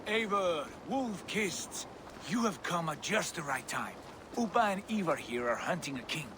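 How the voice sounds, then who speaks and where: Composed Viking clan leader. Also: male voice, fairly high pitch, expressive, unhurried, background noise. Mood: assured greeting. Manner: composed, reassuring. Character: steady, diplomatic Viking clan leader and ally. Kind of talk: video game cutscene acting.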